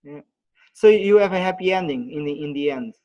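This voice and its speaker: A man presenting an online webinar on pitching, pausing often with fillers and restarts, heard over a video call. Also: lively intonation, mellow, lo-fi sound. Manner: halting, many fillers and restarts.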